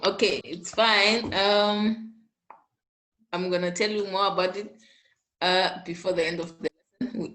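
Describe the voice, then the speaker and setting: A woman's voice: mid-range voice, steady tone, measured pace, long pauses, fairly bright tone, rough recording. A woman teaching an online class, coaxing and chatty, often checking in with "okay?".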